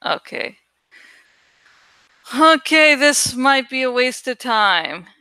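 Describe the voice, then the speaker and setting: A woman's voice: high voice, animated, takes it slowly, pushed and bright, smooth, no echo, low-quality recording. A woman chatting casually and thinking aloud while she works, rambling, swearing and sometimes searching for words. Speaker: online creator and host. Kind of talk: casual rambling chat while working on a graphic.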